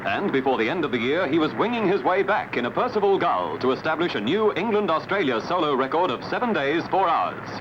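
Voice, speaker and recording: A man's voice: fairly high pitch, big pitch swings, brisk, few pauses, highs cut off, noisy background. A man reading scripted documentary narration as a newsreel narrator. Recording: band-limited archive sound over music. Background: music.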